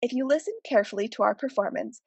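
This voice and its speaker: A woman reading scripted documentary narration in a clear, informative way. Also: fairly high pitch, fast talker, darkish tone, no echo, highs cut off. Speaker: playwright. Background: music.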